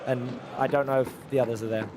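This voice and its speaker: Raspy-voiced man hosting over loud noise. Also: medium pitch, fast. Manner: animated, repeats himself, addresses viewers directly. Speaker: live match-reaction show host and commentator. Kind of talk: lively live football watch-along commentary and chat.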